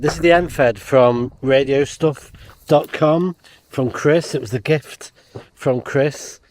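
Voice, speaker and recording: A man's voice: mid-range voice, a little mellow. A British man chatting casually to viewers as a vlogger, in a hesitant, rambling, unscripted way. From United Kingdom. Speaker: amateur radio vlogger. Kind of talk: casual vlog chat. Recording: background noise, car and outdoor ambience.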